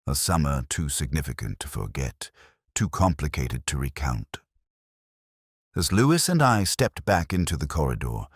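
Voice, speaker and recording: A man narrating a scripted first-person detective story in a measured, brooding, literary manner. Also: rumbling low, no room sound, clean studio sound.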